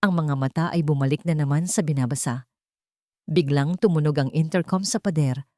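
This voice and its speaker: A woman's voice: sits low, a little fast, a little mellow, dry recording, clean studio sound. A woman reading a scripted dramatic story as its narrator. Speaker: narrator of a Tagalog love story.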